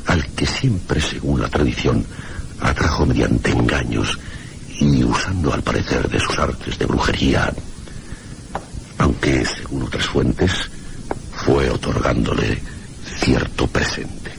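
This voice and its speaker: Creaky bass castilian scholar obsessed with old legends, formal and slow-worded, radio play, noisy audio. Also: male voice, heavily acted. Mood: solemn, insinuating. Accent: Castilian Spanish. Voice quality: very deep, gravelly. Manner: formal, deliberate, erudite. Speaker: radio drama actor. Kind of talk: mystery radio drama dialogue. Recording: noisy radio drama recording.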